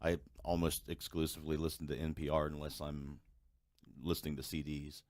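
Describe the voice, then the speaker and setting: A man's voice: extremely low pitch, flat delivery, brisk, darkish tone, no echo, studio quality. A man co-hosting a podcast in casual chat, putting in brief, agreeable remarks. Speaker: podcast co-host.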